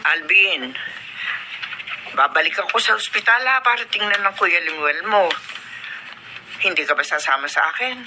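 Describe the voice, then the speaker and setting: A woman's voice: lowish voice, animated, moderate pace, long pauses, over music, lightly acted. A woman playing a fretful, outspoken middle-aged aunt in a Filipino radio drama, exclaiming and scolding out of concern. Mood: concerned, practical. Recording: noisy radio broadcast with clicks.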